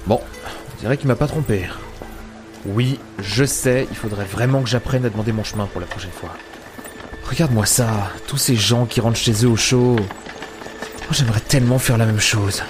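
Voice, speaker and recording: A man's voice: lowish voice, moderate pace, pauses often, over music, close to an everyday voice. An actor playing a grumpy, world-weary male adventurer in an audio drama, sardonic and muttering. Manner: grumbling, sardonic, muttering to himself. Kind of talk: French audio drama acting.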